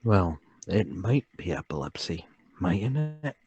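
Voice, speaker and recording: A man's voice: low-pitched, very animated, slow, dull audio, heavily acted. An actor playing a meek, troubled travelling salesman, hesitant and deferential, in a table read of a period murder mystery. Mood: haunted, confessing.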